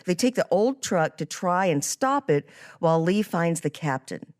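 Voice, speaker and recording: A woman's voice: lowish voice, expressive, normal speed. A woman hosting a podcast, presenting and asking questions in a scripted interview style. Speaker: podcast host.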